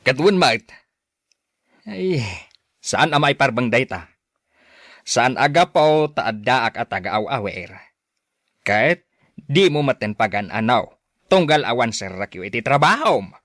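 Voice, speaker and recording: Man playing concerned Filipino father, animated delivery. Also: medium pitch, measured pace, pauses often, dry recording, studio quality. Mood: reproachful. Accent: Ilocano Filipino. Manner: questioning, admonishing. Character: concerned, admonishing father of a grown married daughter. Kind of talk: audio drama acting.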